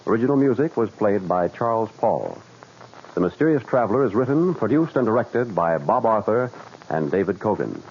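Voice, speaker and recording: A man's voice: lowish voice, sing-song, normal speed, noisy background. A man announcing formally in the style of 1940s network radio. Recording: dull 1940s radio recording.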